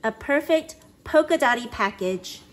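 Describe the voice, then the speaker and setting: A woman's voice: a little high, animated, a little slow. A woman reading a children's picture book aloud expressively, acting out exclamations and sound effects. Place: living room at home.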